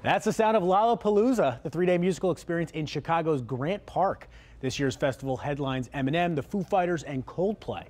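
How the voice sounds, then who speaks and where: A man's voice: a little high, lively intonation, fairly quick, darkish tone, a little hiss or hum. A man with an American accent reading scripted news as an anchor.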